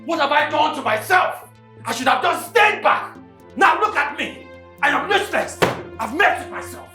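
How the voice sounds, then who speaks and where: A woman's voice: fairly low pitch, big pitch swings, fairly quick, echoey room, music in the background. A woman playing a frantic young madman. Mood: panicked. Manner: panicked wailing, repetitive. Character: frantic young man gone mad, chained.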